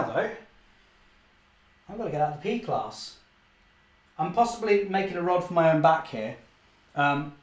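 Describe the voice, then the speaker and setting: A young man, slightly hoarse, chatting cheerfully through a live model railway session and correcting himself often. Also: fairly high pitch, roomy sound. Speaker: model railway channel host. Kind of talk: live-stream running commentary.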